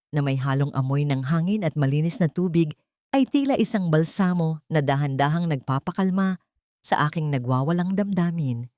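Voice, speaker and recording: A woman with a Filipino accent narrating a scripted dramatic first-person story in a measured, emotive way. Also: low-pitched, a little mellow, no room sound, clean studio sound.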